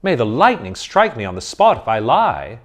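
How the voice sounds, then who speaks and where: A man voicing a nervous, excitable young male student of philosophy who protests in flustered exclamations. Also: medium pitch, normal speed, pitched above the performer's own voice. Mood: pleading.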